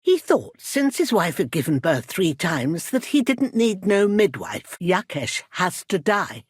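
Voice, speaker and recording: A husky, breathy middle-aged village midwife in a dramatic video game. She is a protective, bitter, grieving mother who speaks slowly and earnestly, her pitch swinging with emotion. The sound is dry and close. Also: very animated, no room sound, exaggerated voice. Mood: bitter, angry. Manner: earnest, emotional, deliberate phrasing.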